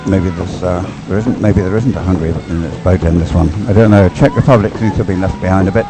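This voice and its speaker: A man giving unscripted live race commentary, explaining as he goes in a hesitant, halting way and repeating words. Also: low voice, music in the background, poor audio. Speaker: sports commentator.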